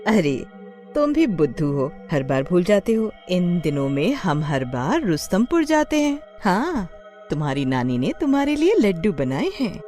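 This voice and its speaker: Anxious protective mother, story role, played by a woman: fretful, animated, mellow, faint music. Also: on the low side, unhurried. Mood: scolding. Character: anxious young mother, scolding yet protective.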